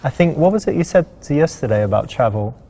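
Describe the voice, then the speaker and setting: Casting: male dating coach, mid-pitched, casual and conversational, giving advice with a halting flow. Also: expressive, dark tone.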